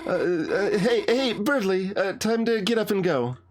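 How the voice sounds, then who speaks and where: A man voicing a timid, sweet teenage girl from a video game, flustered and stammering gently. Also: sits high, lively intonation, unhurried, pitched above the performer's own voice. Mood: nervous, tender. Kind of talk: game dialogue read aloud.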